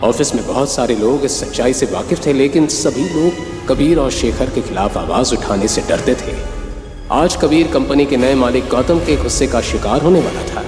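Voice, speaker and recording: A man's voice: medium pitch, lively intonation, moderate pace. Male story narrator in long reverb. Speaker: audio series narrator. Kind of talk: dramatic scripted story narration. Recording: long reverb, music underneath. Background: clear background music.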